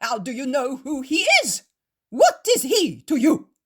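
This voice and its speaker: Pompous, self-important holy men who bluster and preen, as a woman reader plays them. The voice is raised and breathy, swinging widely in pitch, with slow, emphatic phrasing. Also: big pitch swings, exaggerated voice. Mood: furious. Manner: indignant shouting, then wheedling. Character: two proud, vainglorious male Pharisees, angry then fawning.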